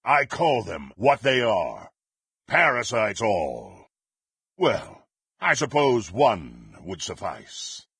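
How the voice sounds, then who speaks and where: An actor playing a power-hungry, contemptuous dark warrior in a fantasy video game, with a gravelly, husky voice and haughty, theatrical, archaic phrasing. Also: male voice, on the low side, huge pitch swings, slow, no echo, heavily acted. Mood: cold, menacing.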